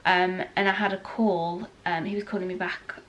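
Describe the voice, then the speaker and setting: A woman's voice: on the low side, level tone, moderate pace, muffled recording. A British woman in her thirties, nasal and bunged up with a cold, tells a long story straight to camera. She rambles along with ums and side remarks in an ordinary mid-range voice. A faint hum of room noise sits behind her. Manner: chatty storytelling with frequent ums and asides. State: congested with a cold. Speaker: vlogger. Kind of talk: casual chat to camera.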